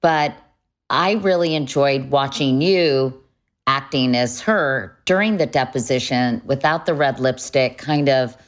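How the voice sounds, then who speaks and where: A woman interviewer with a low voice, asking questions in a conversational way. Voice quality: low, deep female voice.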